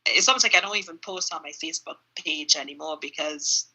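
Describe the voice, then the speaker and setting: Middle-aged woman, guest on a phone call; sharp, bright voice, thoughtful and searching for words. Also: sits low, fairly quick, husky. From Trinidad. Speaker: interview guest, runner. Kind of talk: casual reflective interview answers. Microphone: phone held in hand on a video call.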